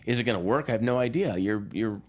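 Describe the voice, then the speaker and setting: A man's voice: fairly low pitch, fast talker. A man chatting casually as a film reporter on a talk show panel.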